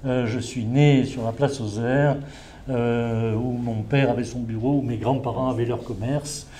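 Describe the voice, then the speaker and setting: A man's voice: mid-range voice, steady tone, measured pace, warm tone, slight background noise. A middle-aged man from southern France giving a conference talk to a live audience, speaking through a face mask that slightly muffles his voice, with frequent hesitations and restarts. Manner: hesitant, many filler sounds and restarts. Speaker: invited speaker, environmental law expert. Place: indoor venue with an audience.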